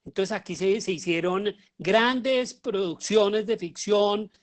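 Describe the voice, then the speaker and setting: Halting middle-aged Colombian male lecturer. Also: high-pitched. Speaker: lecturer, theater teacher. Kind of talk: informal lecture.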